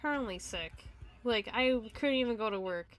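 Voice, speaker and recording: A woman's voice: on the high side, normal speed. A woman on a casual podcast telling stories about customer calls, mimicking the callers and laughing along. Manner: lively storyteller, mimics callers, laughs often. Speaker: podcast co-host. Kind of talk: casual chat, storytelling.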